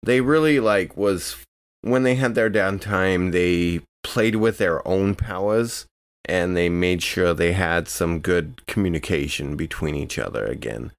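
Casting: male tabletop player, mid-to-low voice, dry close sound, casual talk. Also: unhurried, dry recording. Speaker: tabletop role-playing game player. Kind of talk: casual in-game chat.

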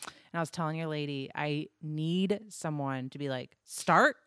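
Deep-voiced woman, chatty podcast guest. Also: a little slow, fairly bright tone, smooth, no echo, clean studio sound. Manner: chatty, self-mocking, swears, laughs often. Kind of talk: casual podcast chat.

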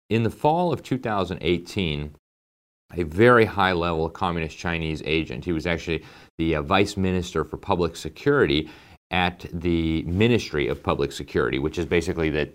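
Low-voiced guy ranting as host, lots of uh and um, pushy but chatty. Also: a bit bright. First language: English. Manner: emphatic, conversational, with frequent fillers and asides. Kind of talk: political commentary monologue.

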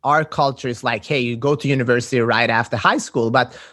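Podcast host from sweden, kinda halting, repeats words a lot, dry close mic. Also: male voice, medium pitch, fairly even intonation, a bit bright, no room sound. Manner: halting, repeats words while finding phrasing. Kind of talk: conversational interview. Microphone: podcast microphone.

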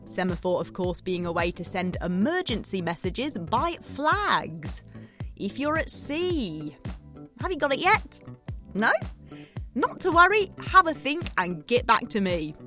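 A woman's voice: medium pitch, big pitch swings, measured pace, sharp tone, soft background music. A woman playing a chatty, needy late-night radio DJ in a scripted drama, playful and theatrical, coaxing her listeners. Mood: eager, coaxing. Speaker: radio host.

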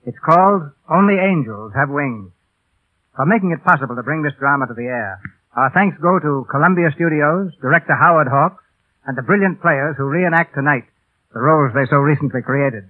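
Formal American radio announcer, muffled vintage broadcast. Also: male voice, fairly high pitch, expressive, moderate pace. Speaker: announcer, host and narrator. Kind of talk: formal scripted 1930s radio presentation. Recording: muffled vintage 1930s radio recording, music.

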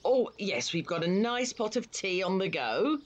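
A woman's voice: mid-range voice, sing-song, measured pace, lo-fi sound. An actress playing a fussy, effusive older mother and hostess in an audio play. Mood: gushing. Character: fussy, hospitable older mother, scolding her husband.

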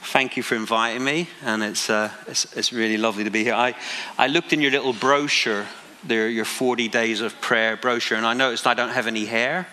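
A Northern Irish man in his forties preaches with a bright, forceful voice. He mixes self-deprecating asides with passionate emphasis. His words ring out with a long hall reverb. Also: mid-range voice, fairly even intonation, brisk, a little hiss or hum. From Northern Ireland. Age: 40s. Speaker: pastor. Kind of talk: sermon.

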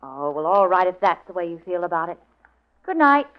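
An actress playing a wry, coquettish young American assistant with an arch, playful manner, in a 1940s radio drama. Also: female voice, lowish voice, very animated, fairly quick. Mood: coy, wheedling. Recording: muffled vintage radio recording.

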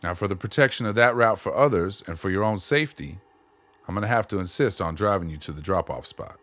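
A man's voice: sits low, lively intonation, fast, a bit bright. A man playing an easygoing, shrewd older smuggler in a radio drama, talking in a folksy, plain-spoken way. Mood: assured, folksy. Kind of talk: audio drama acting.

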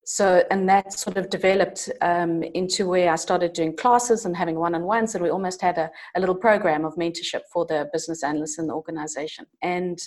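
Middle-aged South African woman, low register, considered interview answers with plenty of ums and restarts. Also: steady tone, a little fast, hardly any pauses, a little mellow. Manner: thoughtful, with frequent ums and restarts. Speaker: internal coach and change manager, guest.

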